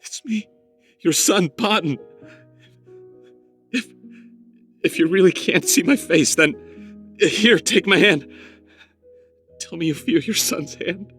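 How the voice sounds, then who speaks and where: A desperate son in a video game drama. His voice sits high for a man, and he speaks slowly and haltingly, pleading and repeating himself, with music playing underneath. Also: a bit bright.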